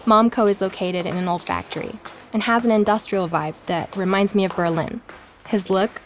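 An actress playing a curious woman investigator who hosts a podcast in an audio drama, speaking in a measured, explanatory way. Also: mid-range voice, fairly even intonation, slight background noise, lightly acted. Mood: matter-of-fact. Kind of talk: scripted documentary-style podcast narration.

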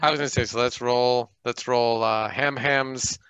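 A man's voice: medium pitch, fairly even intonation, moderate pace, fairly bright tone, clean tone, dry recording. A man casually explaining rules and dice during a tabletop game on a group video call. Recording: dull band-limited online call.

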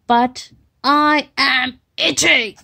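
A woman voicing a big, itchy storybook T-Rex who commands and pleads loudly. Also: high voice, fairly even intonation, extremely slow, vocal fry, exaggerated voice. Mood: pleading.

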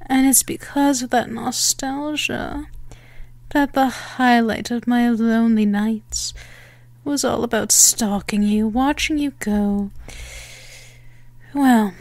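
Actress as female vampire for ASMR roleplay: higher-pitched, unhurried, haughty and menacing, very dry close recording. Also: steady tone, a little mellow, dry recording. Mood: amused, condescending. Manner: teasing, theatrical, deliberate phrasing.

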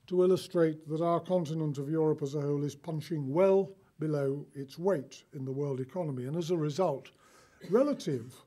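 Older male keynote, formal read delivery, mellow and higher-pitched, lectern mic. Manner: formal, reading from notes. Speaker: keynote speaker. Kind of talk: formal prepared address. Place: conference hall, lectern.

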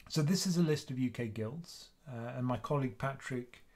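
A man in his fifties with a British accent, hosting a live investing Q&A stream from a small home room, chatting casually in a quiet voice. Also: medium pitch, moderate pace. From United Kingdom. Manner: casual, chatty, soft-spoken. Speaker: investing channel host.

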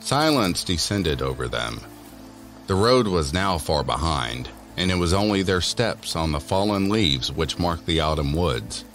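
A man's voice: sits low, lively intonation, measured pace, fairly bright tone. A man narrating a scripted spooky story about teens, as a storyteller in an audio drama. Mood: measured, eerie. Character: male storyteller of a spooky teen tale. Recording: music under the voice.

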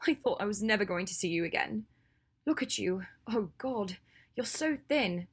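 A woman's voice: mid-range voice, expressive, a little slow, warm tone, breathy edge, voice pushed up. A woman voicing a fierce, devastated young woman in an audiobook, protective and full of loathing. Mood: grieving, distraught. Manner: shaking with rage, cold when accusing. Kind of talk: audiobook character acting.

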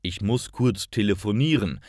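Man reading a deep, courteous boss. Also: lively intonation, normal speed, a little mellow, no room sound, clean studio sound, lightly acted. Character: calm, courteous male company boss, generous.

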